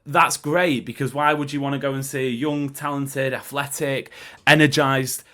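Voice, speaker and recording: A man hosting a football podcast, his voice croaky, chatting loosely and correcting himself as he goes. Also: mid-range voice, fairly even intonation, moderate pace, fairly bright tone. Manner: chatty, rambling with self-corrections. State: croaky throat. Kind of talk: casual solo commentary.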